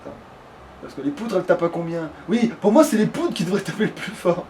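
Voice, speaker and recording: A man's voice: sits high, big pitch swings, quick pace, a little mellow. A man casually chatting and muttering to himself with swearing while playing a video game as a let's play host. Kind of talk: casual gaming chat. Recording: clear background noise on a home recording.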